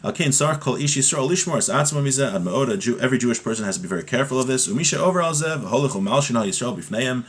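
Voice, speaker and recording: A man's voice: medium pitch, expressive, rapid-fire, hardly any pauses, breathy edge. A man giving an informal religious lesson, reading from a text and explaining it point by point, often repeating key phrases. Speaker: religious teacher giving a class.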